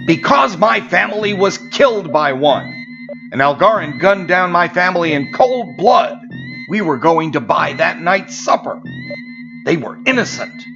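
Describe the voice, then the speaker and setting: A man's voice: high-pitched, very animated, a little slow, vocal fry, exaggerated voice. A man playing a stiff, disdainful alien magistrate with old grudges in a sci-fi audio drama. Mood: bitter anger. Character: haughty, bitter alien male magistrate, prejudiced and grieving. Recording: dull audio with music behind.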